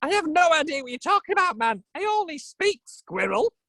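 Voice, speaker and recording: Really high squeaky squirrel, cheeky lil troublemaker, storytime cartoonish voice. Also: male voice, sing-song, sharp tone, no echo, heavily acted. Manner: squeaks and cheeky quick retorts. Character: mischievous squirrel speaking in squeaks, stubborn and cheeky.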